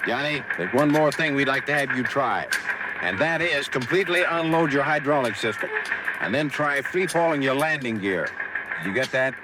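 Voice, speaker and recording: A man's voice: medium pitch, expressive, heavy background noise. Earnest American airfield radio adviser, male. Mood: earnest, urgent. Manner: deliberate, instructive, deferential. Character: earnest, courteous male control tower adviser. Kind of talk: 1950s American radio drama acting. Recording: old radio recording with loud music.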